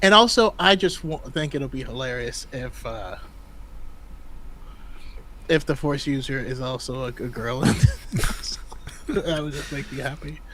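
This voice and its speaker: A man's voice: mid-range voice, measured pace, long pauses, bright, forceful tone, slight background noise. A man co-hosting a casual livestream chat, opinionated, stammering through restarts and laughing a lot. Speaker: podcast co-host.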